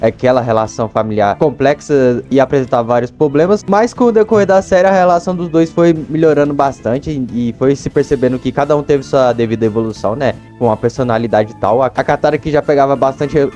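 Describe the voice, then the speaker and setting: A man's voice: mid-range voice, dark tone, dull audio. A young man with a Brazilian accent giving casual, slangy, chatty commentary as a video host.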